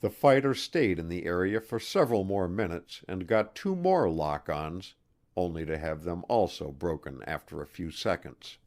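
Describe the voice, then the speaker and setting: Low-pitched man reading nonfiction. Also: lively intonation, normal speed, darkish tone. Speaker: audiobook reader. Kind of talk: scripted nonfiction narration.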